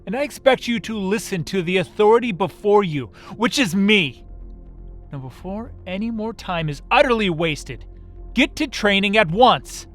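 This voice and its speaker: A man's voice: high voice, animated, normal speed, a bit bright, soft background music. A man playing a strict, irritated training master in an amateur comic dub, speaking in a formal, commanding, scolding way. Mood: aggravated, lecturing. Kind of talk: amateur dubbed comic voice acting.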